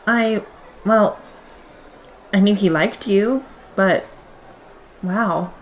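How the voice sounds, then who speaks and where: An actress playing a gentle, hesitant young female superhero in an audio drama. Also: medium pitch, extremely slow, a little hiss or hum. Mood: reassuring, hesitant.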